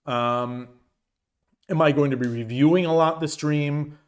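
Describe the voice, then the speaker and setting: A man chatting casually on a shogi live stream, reading viewers' messages aloud, his voice getting dry and hoarse from long talking. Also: on the high side, fairly even intonation, a little slow. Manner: rambling, many restarts, reads chat aloud.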